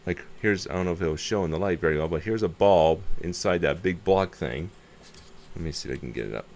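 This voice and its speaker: A man's voice: low-pitched, a little fast, a little mellow. A man giving a casual, unscripted hands-on show-and-tell of a repair, muttering to himself and thinking aloud as he works, recorded on a camera microphone. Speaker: hobbyist presenting a radio repair video. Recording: clear background noise and ambience.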